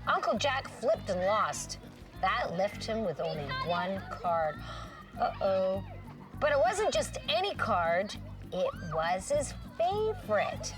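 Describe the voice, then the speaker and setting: A woman's voice: mid-range voice, big pitch swings, takes it slowly, recorded from a distance, music in the background. A woman hosting a children's show, reading a storybook expressively with dramatic little interjections and questions for young listeners.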